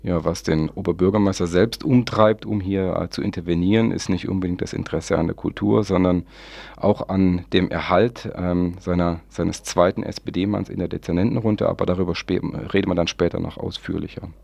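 A man moderating on radio in hesitant, measured phrases. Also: deep voice, fairly even intonation, vocal fry, darkish tone, no echo. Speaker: radio presenter.

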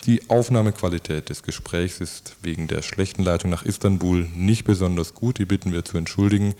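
A man's voice: sits low, warm tone. A man presenting scripted news items on a morning radio programme.

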